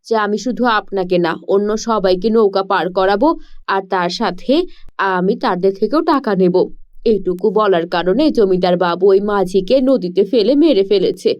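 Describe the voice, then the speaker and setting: A woman's voice: mid-range voice, lo-fi sound, voice pushed down, close to an everyday voice. A woman voicing a poor, weary fisher parent in a cartoon story, explaining things in a patient, resigned way.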